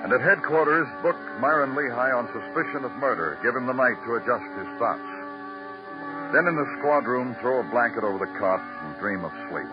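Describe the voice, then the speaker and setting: An actor playing a world-weary New York police detective in a vintage radio noir, with terse, moody, poetic delivery. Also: male voice, medium pitch, animated, brisk, over loud music, lightly acted. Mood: brooding. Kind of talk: 1940s-50s radio noir narration and acting. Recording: muffled vintage radio broadcast.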